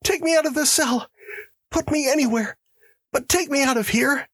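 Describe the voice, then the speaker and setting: A man voicing a terrified male prisoner who pleads and stammers in a high, strained voice. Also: normal speed, fairly bright tone, no echo, clean studio sound.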